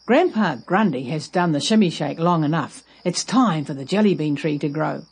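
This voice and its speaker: A woman's voice: sits low, animated, normal speed, darkish tone, breathy edge, exaggerated voice. A woman voicing a nosy, exclamatory neighbour woman in a children's storybook. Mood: scheming.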